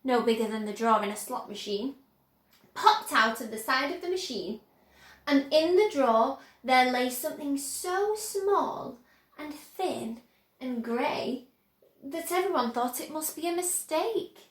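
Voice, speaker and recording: Brief: high, unhurried woman storyteller, bright tone, echoey room. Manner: expressive, dramatic emphasis. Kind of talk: reading a children's book aloud.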